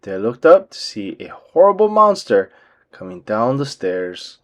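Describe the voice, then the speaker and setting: A man reading a children's book aloud as an expressive storyteller. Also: medium pitch, unhurried.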